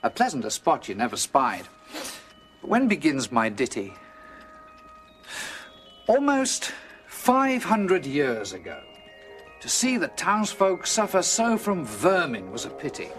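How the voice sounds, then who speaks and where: Male storyteller, dramatic verse, very animated, unhurried, music beneath. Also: exaggerated voice. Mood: grave, lyrical. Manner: measured, highly expressive recitation. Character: theatrical storyteller reciting a rhyming tale to a child.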